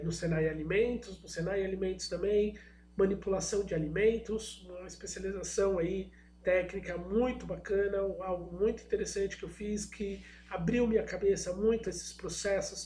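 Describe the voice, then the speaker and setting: A middle-aged man with a Brazilian accent gives an informal presentation in a slightly hoarse voice. He speaks hesitantly, often searching for the next word. The room is echoey, with a faint hum underneath. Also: sits high, darkish tone. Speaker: guest speaker, product designer and innovation agent.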